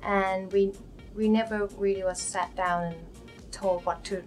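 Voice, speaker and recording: A woman's voice: mid-range voice, level tone, measured pace, soft background music. A young woman from Thailand, a native Thai speaker, speaking hesitantly as a first-year student in a documentary interview. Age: young adult.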